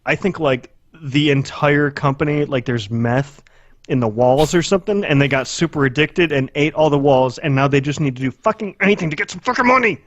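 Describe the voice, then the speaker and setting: A man's voice: on the high side, fairly quick, no echo, dull audio. A man co-hosting a podcast with casual, profane banter, sarcastic and given to joking exaggeration. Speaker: podcast co-host.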